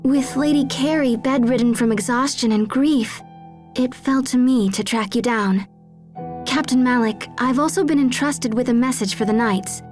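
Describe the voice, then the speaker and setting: A young noblewoman played by a woman in a video game. She is earnest and courteous, choosing formal words as she speaks for her embattled homeland. Soft music plays behind her. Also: high-pitched, normal speed, close to an everyday voice. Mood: solemn, formal. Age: young adult. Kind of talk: English video game voice acting.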